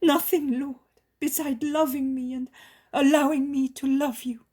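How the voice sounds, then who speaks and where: A woman's voice: little pitch movement, measured pace. A woman voicing an ecstatic healed noblewoman crying out. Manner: high, loud, crying out.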